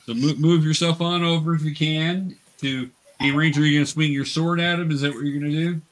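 A man's voice: a little high, fairly even intonation, brisk. A man running a tabletop game for young beginners as game master, patiently explaining each step.

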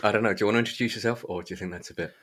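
A man with a fairly low, somewhat husky voice hosts a podcast. He talks casually, as in a friendly two-person chat. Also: steady tone, rapid-fire, a bit bright. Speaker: podcast host.

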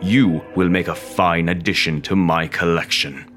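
A man as obsessive opera phantom, low pitch, brooding, theatrical, radio drama, music behind. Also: steady tone, unhurried. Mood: menacing, bitter.

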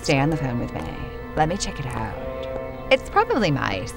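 Low-voiced, gossipy young single. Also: female voice, wildly swooping pitch, creaky, loud music behind the voice, exaggerated voice. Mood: wary. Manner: gossipy, drawn-out slangy delivery. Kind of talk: campy B-movie audio drama acting.

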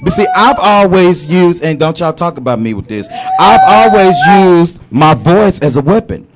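Animated man, lively and rising pitch. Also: heavy background noise. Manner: animated, drawn-out storytelling with many fillers. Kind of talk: casual group chat.

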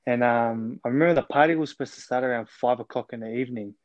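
A man's voice: mid-range voice, fast, no echo, dull audio. A man in his twenties with an Australian accent, a podcast guest on a remote call, telling his story earnestly with many ums and restarts. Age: 20s.

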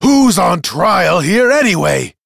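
A man acts out a vindictive fantasy clan leader with a loud voice pushed high. He is scornful and explosive, hurling each accusation word by word. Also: very animated, takes it slowly, vocal fry, no echo, studio quality, exaggerated voice. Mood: indignant. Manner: explosive, accusing, spitting out words.

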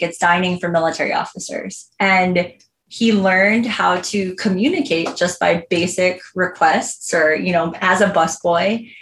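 An American woman giving an earnest, heartfelt interview answer, telling stories conversationally with plenty of "like" and "you know". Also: fairly low pitch. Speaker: interview guest, home loan professional. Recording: roomy echo on the voice.

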